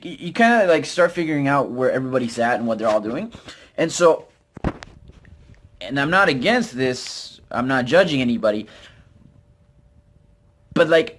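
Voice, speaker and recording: A man from Utah with an American accent talks casually and candidly as a vlogger, in a hesitant, self-deprecating, rambling way with informal slang. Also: a little high. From Utah, United States. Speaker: vlogger, network marketer. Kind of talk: casual, candid talk to camera.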